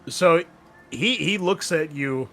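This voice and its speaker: A man acting as game master, narrating and explaining a tabletop roleplaying game in a casual, halting, conversational way. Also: a little high, bright, forceful tone.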